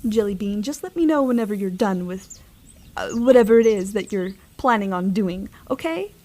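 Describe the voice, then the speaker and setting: A woman's voice: mid-range voice, expressive, moderate pace, darkish tone, close to an everyday voice. A woman playing a kindly, long-suffering suburban mother in an audio drama. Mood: fond, wary. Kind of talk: scripted audio drama acting.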